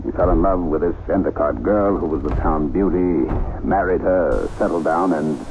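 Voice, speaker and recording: A man's voice: low voice, normal speed, a little mellow. An actor playing a nervous, harried businessman in a radio drama, agitated and emphatic. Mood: grave, explaining. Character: nervous, harried businessman fearful of a haunted house. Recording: noisy old radio broadcast recording.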